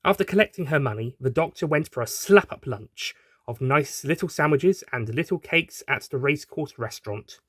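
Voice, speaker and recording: A man's voice: on the high side, expressive, moderate pace, fairly bright tone, breathy edge, no echo, clean studio sound. A man reading a scripted audio story as its narrator. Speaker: writer and narrator.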